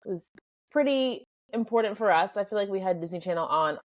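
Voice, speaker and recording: A woman's voice: mid-range voice, animated, brisk, dry recording. A woman co-hosting a podcast, upbeat and giving background facts. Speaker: podcast co-host. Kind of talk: casual podcast chat.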